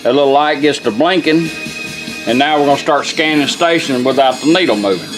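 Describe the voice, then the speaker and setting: A man's voice: on the high side, fairly quick, poor audio. A man giving an informal, step-by-step hands-on product demonstration in a plain-spoken way. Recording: music playing from car radio behind. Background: music from the radio being demonstrated.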